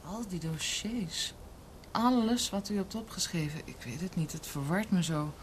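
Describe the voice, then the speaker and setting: An actress playing an anxious, devoted young niece in a radio drama, earnest, questioning and deferential. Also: female voice, fairly low pitch, normal speed, very noisy, natural voice. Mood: troubled. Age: young adult.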